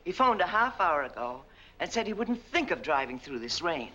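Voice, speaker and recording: An actress playing a chatty, practical small-town justice's wife, matter-of-fact and helpful, in 1940s film style. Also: female voice, lowish voice, very animated, normal speed, sharp tone, muffled recording. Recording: very noisy old film, heavy rain.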